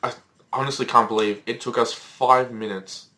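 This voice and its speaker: A man's voice: mid-range voice, steady tone, moderate pace, sharp tone. A teenage man co-hosting a casual podcast, rambling with lots of fillers and swearing freely. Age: teens. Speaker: podcast co-host. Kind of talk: casual chat. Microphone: Skype call recording.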